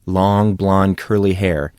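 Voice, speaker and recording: A man's voice: low voice, steady tone, slow, a little mellow, no echo, clean studio sound. A man reading a scripted fantasy story as an audiobook narrator.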